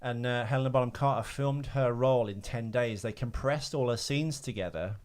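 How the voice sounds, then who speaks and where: A man's voice: mid-range voice, moderate pace, a little mellow, clear, resonant tone. A man hosting a casual film trivia podcast, explaining things in a hesitant, informal way. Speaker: podcast host.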